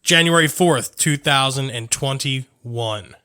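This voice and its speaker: Bright-voiced man in profane banter. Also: medium pitch, slow pace. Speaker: podcast co-host. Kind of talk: casual chat.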